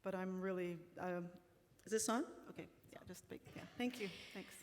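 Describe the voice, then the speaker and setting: A woman's voice: mid-range voice, normal speed. Woman, panel moderator, upbeat and chatty, long hall reverb, faint background noise. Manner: upbeat, laughing, informal. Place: conference hall.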